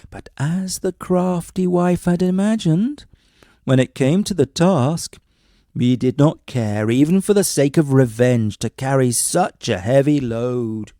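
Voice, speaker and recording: Mellow male bedtime storyteller, dry sound. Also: mid-range voice, measured pace, no room sound. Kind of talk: scripted bedtime story narration.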